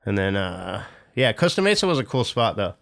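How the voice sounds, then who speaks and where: A man's voice: lowish voice, big pitch swings, a little fast, vocal fry, a bit bright. A middle-aged man from Southern California, a former pro skater as podcast guest, telling stories in a rambling, laid-back way with lots of fillers and swearing. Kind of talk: casual interview chat.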